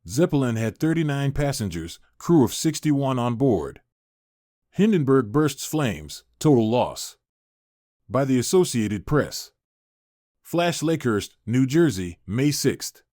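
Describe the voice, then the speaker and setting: A man reads newspaper reports as scripted narration. His voice sits at a middle pitch, and the sound is dry, with no real room around it. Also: big pitch swings, takes it slowly, dark tone, no echo.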